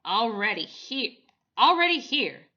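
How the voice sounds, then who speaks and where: A woman voicing a gruff, hurried photographer in a storybook, barking urgent orders. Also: a little high, big pitch swings, sharp tone, muffled recording, pitched above the performer's own voice, full character voice. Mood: brisk, commanding. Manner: barked, urgent commands.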